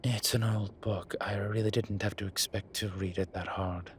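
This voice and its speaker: A man playing a flirtatious, cheeky incubus demon in an audio roleplay, talking in a teasing, suggestive way with drawn-out pauses. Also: on the low side, level tone, slight background noise, close to an everyday voice. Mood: defensive bickering. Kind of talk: audio roleplay acting, one-sided conversation.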